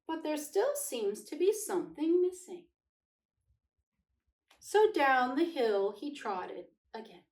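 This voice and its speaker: A woman with a fairly high voice reads aloud for children in a gentle, unhurried way. Her pitch moves in lively swings as she tells the story. She sounds roomy and echoey. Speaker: read-aloud host. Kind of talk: storybook read-aloud with casual chat. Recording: echoey room, distant microphone.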